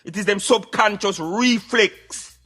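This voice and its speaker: Bright, emphatic Jamaican man on radio. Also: sits high, slow pace, husky, faint music behind. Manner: emphatic, preaching in Patois. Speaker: radio show host. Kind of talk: opinionated talk radio commentary.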